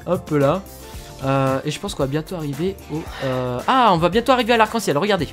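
A man's voice: on the high side, expressive, fairly quick, fairly bright tone, faint music behind. A man from France chatting casually and laughing as he plays a video game. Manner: chatty, laughs often, fillers. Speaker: gaming youtuber. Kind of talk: casual gaming commentary.

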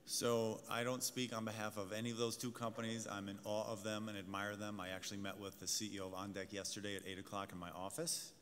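A man's voice: on the low side, level tone, fast talker, hardly any pauses, fairly bright tone. Panelist, male, assured sales manner; table mic in a large hall with a faint hum behind. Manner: confident, salesy, addresses the audience. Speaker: fintech executive panelist. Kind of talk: conference panel talk. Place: large conference hall stage. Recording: hall reverb, faint room noise.

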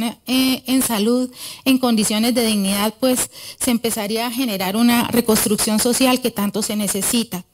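A middle-aged woman giving an academic conference talk at a podium microphone in a large auditorium, earnest and often pausing to correct herself. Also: on the high side. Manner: earnest, with frequent hesitations and self-corrections. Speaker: conference speaker. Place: large wood-panelled auditorium.

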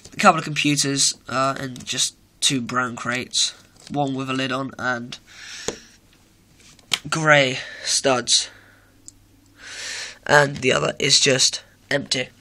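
A man's voice: medium pitch, bright, forceful tone. A teenage boy with a cold and sore throat, casually showing off a model build, rambling with many fillers and self-corrections. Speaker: hobby video maker. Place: indoor room at home, filming with a handheld camera.

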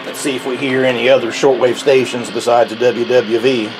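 A middle-aged man giving an unscripted equipment demonstration, halting and hesitant, with very frequent ums and pauses. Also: mid-range voice, little pitch movement, noisy background. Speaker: radio collector demonstrating a receiver. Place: indoors at a radio bench.

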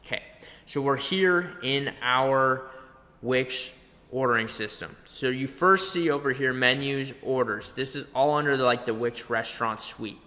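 Tutorial presenter: young male, mid pitch, crisp bright edge, conversational and explanatory, long room reverb. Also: unhurried. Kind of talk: conversational screen-share tutorial. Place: indoor room, webcam beside screen capture.

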